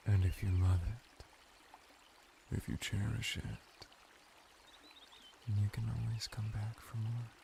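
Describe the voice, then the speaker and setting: A man playing a flirty, servile merman in an intimate ASMR roleplay, murmuring teasingly with dramatic pauses. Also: fairly low pitch, fairly even intonation, vocal fry, background noise. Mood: coaxing, tender. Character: flirty seductive merman, servile and sultry, devoted to his master.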